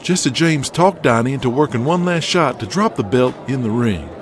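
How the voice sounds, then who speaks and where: A man's voice: mid-range voice, expressive, moderate pace, pitched above the performer's own voice, natural voice. A man playing an earnest wrestling promoter in an audio drama, insistently explaining and pleading his case.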